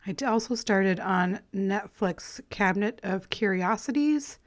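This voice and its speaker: A woman with a slightly raspy voice, still clearing her throat after being ill, chatting casually and rambling as she talks through a crafting project. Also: mid-range voice, a little slow. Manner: relaxed, rambling, friendly, with light laughs. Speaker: crafting vlogger. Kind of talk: casual chatty voice-over while collaging.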